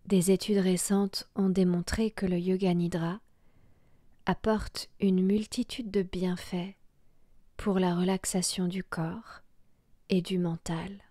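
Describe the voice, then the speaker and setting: A woman guiding a relaxation meditation in a soft, breathy, half-whispered voice, hushed and soothing, with long pauses. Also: on the low side, fairly even intonation, dry recording. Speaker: yoga nidra guide.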